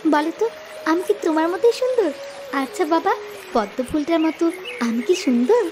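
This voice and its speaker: Woman acting a very high-pitched innocent little girl. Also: expressive, moderate pace, noisy background. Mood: curious, admiring. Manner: childlike, sweet questioning. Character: innocent, curious, affectionate little village girl. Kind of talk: cartoon story voice acting.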